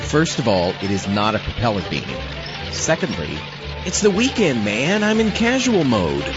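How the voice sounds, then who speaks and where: An animated, casual sidekick, acted by a man, skeptical and wryly exasperated. His comic audio drama banter sounds slightly dull and sits over clear music. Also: mid-range voice, normal speed. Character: laid-back, skeptical sidekick of a mad scientist. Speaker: host introducing radio plays.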